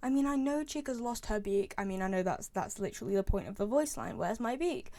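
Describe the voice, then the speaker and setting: A woman's voice: medium pitch, lively intonation, quick pace, warm tone, dry recording. A young woman giving casual, opinionated fan commentary on a game, chatty and repeating phrases for emphasis. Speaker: video creator, game fan.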